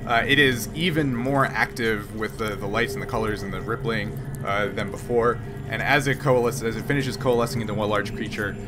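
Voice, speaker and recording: A man running a tabletop game as game master, narrating the scene in a halting way with many fillers. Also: on the low side, steady tone, over music.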